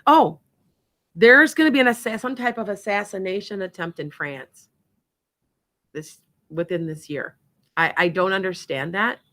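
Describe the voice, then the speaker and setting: Woman, Wisconsin accent, psychic show host, bright voice, rambling chatty talk. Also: mid-range voice, normal speed, pauses often. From Wisconsin, USA. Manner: chatty, rambling, laughs often. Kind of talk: casual chat and psychic readings.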